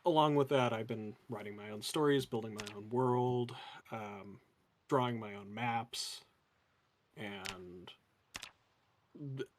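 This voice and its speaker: A man talking in an even, flat monotone that can come across as sarcastic. His pitch sits in the middle range. He chats casually and at length about being a dungeon master. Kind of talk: casual chat.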